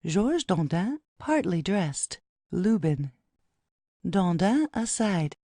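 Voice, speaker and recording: A woman's voice: fairly low pitch, big pitch swings, takes it slowly, mellow, no echo, clean studio sound. A woman narrating an audio play, reading out headings and stage directions as scripted narration.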